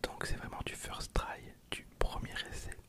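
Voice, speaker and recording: An ASMR host speaking in a soft, breathy whisper, chatting casually and informally to viewers in an unscripted, rambling way. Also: normal speed. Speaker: host of an ASMR card-opening video channel.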